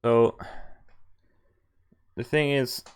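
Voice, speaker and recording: A man streaming a visual novel, reading its text aloud and chatting in a halting, thinking-aloud way. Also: medium pitch, fairly even intonation, clean tone.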